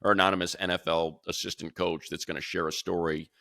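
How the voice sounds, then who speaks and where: A man with a very low, gravelly voice and a sharp, bright edge talks football from a room at home. He rambles through restarts and grows animated when he compares players. The sound is dry and close. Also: fast talker, dry recording. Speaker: radio play-by-play announcer. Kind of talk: casual sports podcast chat.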